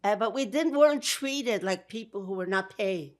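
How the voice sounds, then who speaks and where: An older woman with a Brooklyn accent telling stories from her past in a warm, chatty way. She laughs often and starts sentences over as she remembers. Her voice sits at an ordinary pitch for a woman. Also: lively intonation, moderate pace. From Georgia. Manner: warm, with frequent laughs and restarts. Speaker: guest. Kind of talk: interview, memories.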